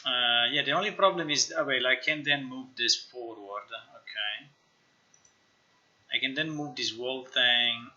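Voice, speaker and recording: Sharp, bright-voiced man thinking aloud, slow-paced. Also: medium pitch. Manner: halting, musing, talks to himself. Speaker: game developer live-streamer. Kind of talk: casual live screen-share commentary, thinking aloud. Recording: slightly dull, lo-fi stream audio.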